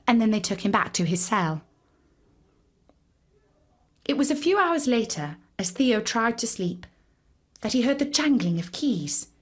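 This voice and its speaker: A woman narrating a children's story in an expressive storytelling style. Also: on the high side, unhurried, pauses often.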